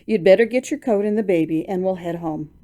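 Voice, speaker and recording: A woman voicing a tired young husband who speaks low and calmly. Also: fairly quick, close to an everyday voice. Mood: weary. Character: young husband, weary and steady.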